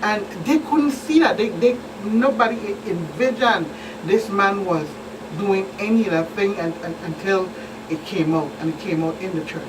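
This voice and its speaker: A woman's voice: mid-range voice, normal speed. A woman with a Virgin Islands Caribbean accent speaks emphatically as a guest expert in a talk show interview, repeating phrases as she recounts cases. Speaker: police commander, guest expert. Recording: distant mic, noisy room hum.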